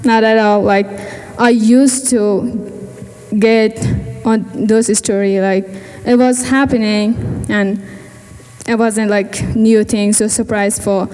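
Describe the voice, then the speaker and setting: A woman's voice: mid-range voice, steady tone, takes it slowly, dark tone, clear, resonant tone, long reverb tail, soft background music, rough recording. A teenage girl from Afghanistan, giving a personal speech at a gala and often searching for words in English. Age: teens. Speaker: student speaker. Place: large event hall.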